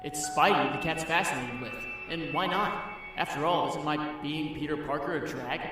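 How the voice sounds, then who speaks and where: Guy doing a young superhero in a comic dub, kinda high voice, earnest and dramatic, music pretty loud behind him. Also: normal speed, natural voice, poor audio. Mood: conflicted, musing. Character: earnest young male superhero, lovestruck and torn about identity.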